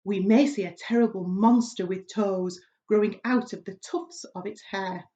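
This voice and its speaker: A woman's voice: mid-range voice, moderate pace, warm tone, close to an everyday voice. A grinning, boastful storybook centipede, acted by a woman reader. He is exuberant and theatrical, with a rollicking delivery on a slightly dull recording. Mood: gleeful, dramatic.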